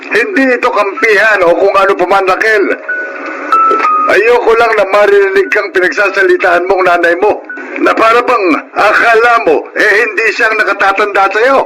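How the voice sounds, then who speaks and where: Actor as a high-voiced, firm older father. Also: steady tone, moderate pace, over music, lightly acted, poor audio. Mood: stern, admonishing. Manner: admonishing, earnest. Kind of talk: Filipino radio drama acting.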